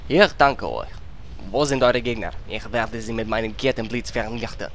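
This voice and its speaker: A woman's voice: a bass voice, moderate pace. A performer voicing a boastful shaman adventurer in a parody audio drama, with faint background noise. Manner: boastful, showy.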